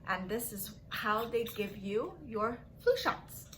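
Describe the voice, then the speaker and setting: A woman's voice: on the high side, very animated, slow pace, background noise. A young woman leading a lesson for small children in a sing-song, exaggerated manner, asking questions and giving praise. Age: young adult. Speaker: children's story time teacher. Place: small furnished room at home.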